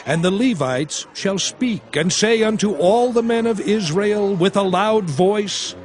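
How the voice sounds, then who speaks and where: An actor playing a lawgiver who gives deliberate, declaiming orders to the tribes. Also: male voice, high-pitched, expressive, soft background music.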